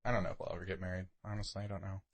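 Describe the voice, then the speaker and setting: A man's voice: low voice, flat delivery, racing. A man co-hosting a podcast in a casual, rambling, informal way. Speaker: podcast co-host.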